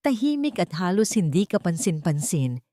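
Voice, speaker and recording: Filipina storyteller, lower voice, dramatic narration. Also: female voice, sing-song, moderate pace, dark tone, no echo, clean studio sound.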